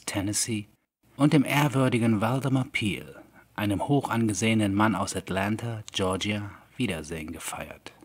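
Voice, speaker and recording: A man narrating a scripted horror audiobook in a measured, deliberate storytelling manner. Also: lowish voice, slightly rough. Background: soft background music.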